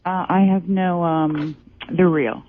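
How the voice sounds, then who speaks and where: A woman's voice: lowish voice, steady tone, slow. A woman calling in to a radio show as a therapist, chatting casually in a candid, playful way over the phone. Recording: tinny phone line with hiss.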